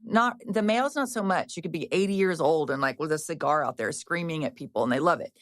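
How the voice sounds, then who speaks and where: A woman's voice: sits low, quick pace, no echo, clean studio sound. A woman in her fifties, a personal trainer, talking casually and frankly with many restarts and the odd swear. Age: 50s. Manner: chatty, rambling, frequent restarts and fillers. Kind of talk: casual podcast conversation.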